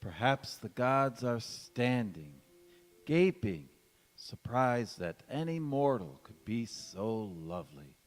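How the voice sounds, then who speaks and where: A devoted young bridegroom played by a man in a golden age radio play. He speaks slowly in a medium-pitched voice, courtly and ardent in his reassurance. Long reverb from a large hall surrounds him. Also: sing-song. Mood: tender. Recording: echoey church hall stage recording.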